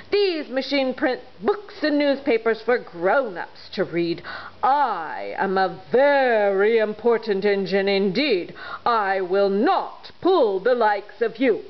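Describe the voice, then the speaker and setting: A woman voicing a boastful, pompous big male freight engine in a storybook read-aloud, speaking slowly and loudly. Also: medium pitch, highs cut off. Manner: pompous, haughty, slow and loud.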